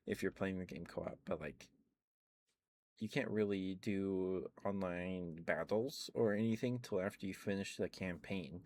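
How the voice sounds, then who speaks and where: A man speaking softly in a chatty ASMR ramble, close to the microphone, meandering with soft chuckles. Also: sits low. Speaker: ASMR streamer.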